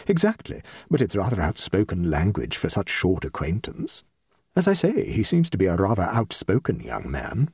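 A man voicing a shrewd, tactful society lady with a measured, dry and precise manner in a comic story. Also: low-pitched, wildly swooping pitch, breathy edge, no room sound, pitched above the performer's own voice, full character voice. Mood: dry, diplomatic. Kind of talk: comic short story reading.

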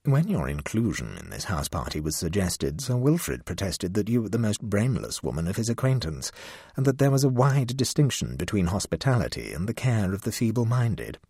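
A man voicing an aloof male talking cat who speaks frigidly and with deadpan indifference. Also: on the low side, a little fast, slightly rough, dry recording, studio quality, heavily acted. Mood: cold disdain.

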